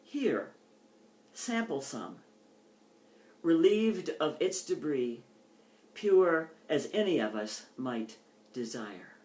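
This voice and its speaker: An older woman poet giving a reading with measured, deliberate phrasing and informal delivery between pieces. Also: sits low, sing-song, a little mellow. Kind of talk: poetry reading with informal introductions. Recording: echoey, roomy sound.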